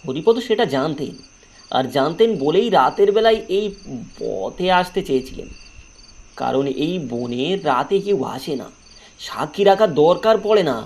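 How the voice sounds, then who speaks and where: A man's voice: a little high, very animated, normal speed, a little mellow. A man narrating a scripted horror story in a dramatic, storytelling way.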